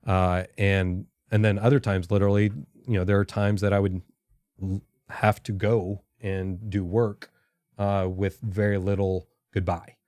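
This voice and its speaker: A middle-aged man, a podcast guest and former intelligence officer, answering in a careful, halting, reflective way. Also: lowish voice, little pitch movement, darkish tone, no room sound, studio quality.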